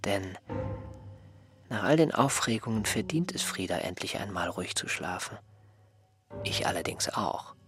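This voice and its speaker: A man acting an aggrieved, smug former servant in a radio drama, reproachful and pedantic, with a husky, breathy voice. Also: sits low, lively intonation, a little slow, long pauses, over music. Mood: smug, self-righteous. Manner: reproachful, self-righteous, pedantic.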